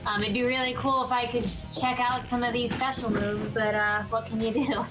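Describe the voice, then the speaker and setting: Woman, podcast host, higher voice, conversational game explainer over clearly audible music. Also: steady tone, moderate pace, poor audio. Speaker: podcast host presenting gameplay. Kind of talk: casual gameplay chat. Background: music behind the voice.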